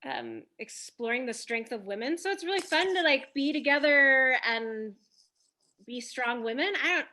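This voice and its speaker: A woman's voice: sits high, animated, normal speed, sharp tone, slight background noise. A woman chatting casually as an actress and guest on a cast panel. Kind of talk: casual chat.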